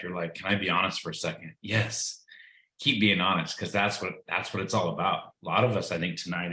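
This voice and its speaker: A man giving an upbeat recruiting pitch on a video call, salesy and full of fillers. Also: bright, forceful tone, reverberant.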